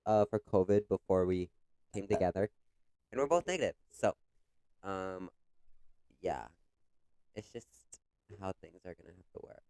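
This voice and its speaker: A man's voice: sits low, animated, moderate pace, long pauses, mellow, smooth, no echo. A young man co-hosting a podcast in casual chat, congested with a cough, talking loosely with lots of filler words. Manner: chatty, many "like" fillers, retells stories with quoted voices. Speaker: podcast co-host.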